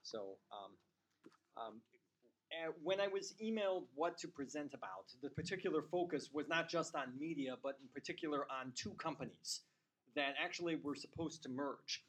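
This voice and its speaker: A man's voice: a little high, normal speed, fairly bright tone, poor audio. A man giving a talk to a community forum, chatty and prone to repeating words as he starts a sentence. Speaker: guest speaker. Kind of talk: public talk with casual chat before.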